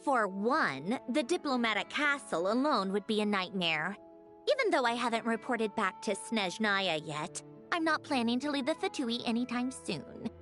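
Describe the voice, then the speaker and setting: A woman's voice: a little high, animated, normal speed, fairly bright tone, faint music behind. An actress voicing a self-assured, clever young woman in a video game, explaining things in a confident, dryly teasing way. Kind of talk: game voice acting.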